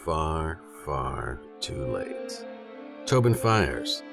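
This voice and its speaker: Very deep, creaky male storyteller. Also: very animated, over music. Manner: measured, dramatic storytelling. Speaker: audiobook narrator. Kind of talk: scripted literary narration of a western novella.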